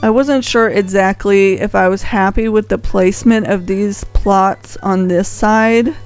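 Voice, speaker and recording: Slow-paced woman, casual game commentary. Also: medium pitch, fairly even intonation, a little mellow, faint music behind. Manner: rambling, conversational, thinking aloud. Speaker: video host showing a game island. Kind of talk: casual unscripted gameplay commentary.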